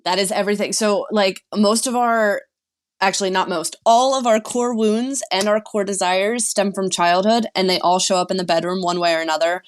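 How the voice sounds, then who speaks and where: A woman's voice: medium pitch, fairly even intonation, normal speed. Woman coach explaining with easy confidence. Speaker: sex and relationship coach guest. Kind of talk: casual podcast chat.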